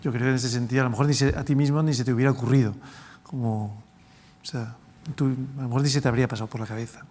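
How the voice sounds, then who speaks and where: A man's voice: mid-range voice, steady tone, rapid-fire, a little mellow. A man, a literary critic on a discussion panel, speaking reflectively and checking in with others. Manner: reflective, asks tag questions.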